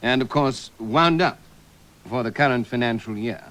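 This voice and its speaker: A self-assured, solemn official , played by a male comedian with a medium-pitched voice. He is formal, matter-of-fact and deadpan in the manner of a spoof radio broadcast. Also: normal speed, lightly acted. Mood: earnest, deadpan.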